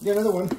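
A woman singing and cheering loudly at a family birthday at home. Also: slight background noise.

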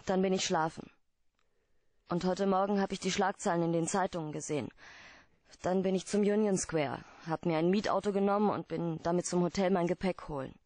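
An actress playing a guarded, troubled client pleading for help in a German radio play, hesitant and earnest. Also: female voice, fairly low pitch, fairly quick, darkish tone, no room sound, natural voice. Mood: guarded, explaining.

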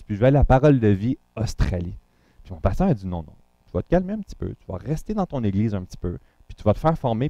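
A man's voice: lowish voice, warm tone, no echo, dull audio. A man from Montreal with a Quebec French accent, introducing himself to a congregation as a guest pastor, talking loosely with many fillers and restarts.

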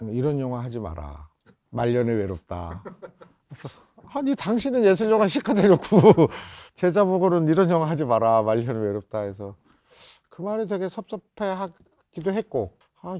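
A man in his fifties from South Korea speaks as a film director in an oral-history interview. His voice sits at a medium pitch. He reminisces reflectively and haltingly, searching for words, and the recording is dry with little room sound. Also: dark tone, dry recording. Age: 50s. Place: indoor interview set before a photo backdrop.

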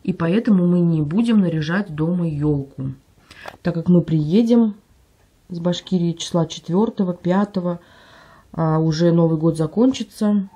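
A woman vlogger with a slightly hoarse voice from a cold, chatting casually at home and describing items she holds up for a phone camera. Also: fairly low pitch, dark tone, highs cut off. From Russia. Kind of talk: casual show-and-tell vlog. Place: at home, indoors.

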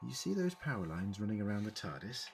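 An actor playing an eccentric, clever time-travelling man in an audio drama, deliberate and formally worded as he explains. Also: fairly low pitch, very animated, gravelly, a little hiss or hum. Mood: measured, explaining.

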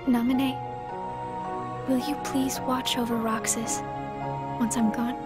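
An actress voicing a solemn, selfless teenage girl in a video game, earnest and hesitant yet quietly resolute. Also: on the high side, little pitch movement, takes it slowly. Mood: sad, resigned. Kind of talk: dramatic game cutscene acting. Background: loud melancholy music.

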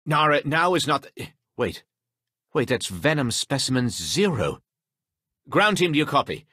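An actor playing a commanding, exasperated mission coordinator in a video game, with an urgent, theatrical delivery. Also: male voice, mid-range voice, sing-song, a little slow, pushed and bright, slightly rough, no room sound, clean studio sound, heavily acted. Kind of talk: dramatic video game dialogue.

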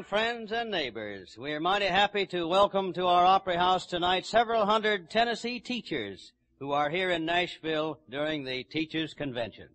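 A man's voice: high-pitched, bright, forceful tone, low-quality recording. A man working as a folksy, jocular master of ceremonies on a vintage 1940s radio broadcast.

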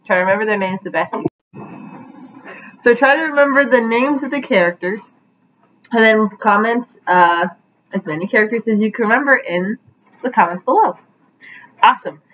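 A woman's voice: fairly high pitch, animated, normal speed, pauses often, phone-quality sound, a little hiss or hum. A young adult woman reading a picture book aloud to children as a teacher, in a living room at home.

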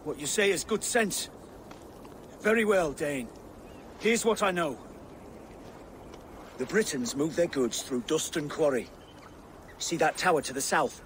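An actor playing a distrustful Briton refugee in a video game. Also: male voice, a little high, animated, unhurried, long pauses, a bit bright, slight background noise. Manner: suspicious, then cooperative. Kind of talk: video game cutscene acting.

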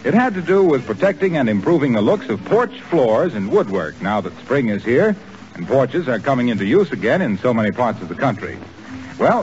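A hearty radio announcer from the 1940s reading a product spot in a lively, rising and falling voice. Orchestra music plays clearly behind him, and the whole thing sounds muffled like an old broadcast. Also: male voice, medium pitch.

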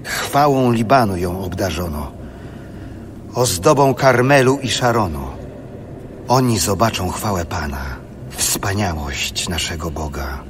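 A man's voice: low voice, lively intonation, unhurried, noisy background. A man giving a solemn, dramatic narration of scripture for an audio production.

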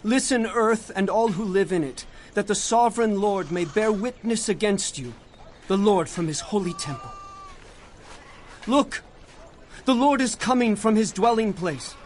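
A man's voice: high-pitched, lively intonation, a little slow, slight background noise. A man playing an impassioned prophet solemnly warning of doom in a dramatized audio Bible, with music behind him. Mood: proclaiming. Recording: music under the voice.

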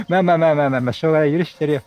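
A man with a high-pitched voice gives a casual running commentary on a video game. His delivery is very animated and chatty, full of teasing back-talk, with faint music underneath.

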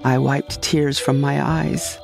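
A woman narrating a scripted first-person comedic sci-fi audio drama in a deadpan storytelling manner. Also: extremely low pitch, takes it slowly, gravelly. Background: music.

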